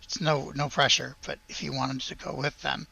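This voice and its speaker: A woman's voice: very deep voice, flat delivery, normal speed, vocal fry, sharp tone, breathy edge, low-quality recording. A woman acting as game master, narrating a tabletop role-playing game in an easygoing, conversational way.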